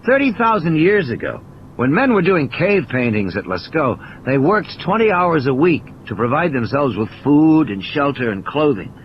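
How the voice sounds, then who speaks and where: A man's voice: on the high side, big pitch swings, moderate pace, dull audio, a little hiss or hum. A man playing an injured, cynical and irritable scientist in an audio play, ranting emphatically in philosophical, insistent repetitions.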